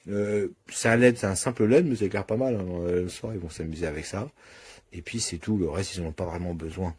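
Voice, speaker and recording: A man's voice: sits low, brisk, a little mellow, a little hiss or hum. A man giving a casual, unscripted product review, talking colloquially and thinking aloud as he demonstrates.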